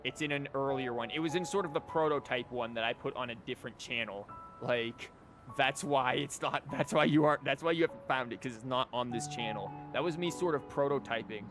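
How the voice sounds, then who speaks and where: A man's voice: mid-range voice, fairly quick. A man chatting casually and ramblingly as he streams a video game. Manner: rambling, conversational. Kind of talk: casual livestream chat and game commentary. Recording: game music behind the voice. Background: game music.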